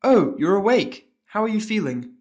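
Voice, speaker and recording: Male performer as a female pony nurse, raised pitch, timid, helpful, deferential, explanatory, fan audio drama. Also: very animated, normal speed, darkish tone, highs cut off. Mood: bright concern. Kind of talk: amateur audio drama character voice.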